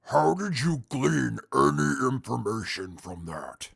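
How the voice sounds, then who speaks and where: Male actor as disdainful formal warrior, very slow delivery, creaky voice, humorless, audio drama. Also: mid-range voice, big pitch swings, fairly bright tone, no room sound, studio quality, full character voice. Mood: exasperated. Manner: stiff, formal, deliberate diction. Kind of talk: post-apocalyptic audio drama acting.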